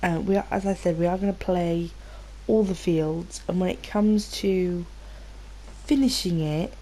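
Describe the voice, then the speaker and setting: Mellow, low-voiced British woman gamer. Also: unhurried, poor audio. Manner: rambling, informal, sweary. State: slightly nasal from a cold. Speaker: gaming video host. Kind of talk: casual gameplay commentary.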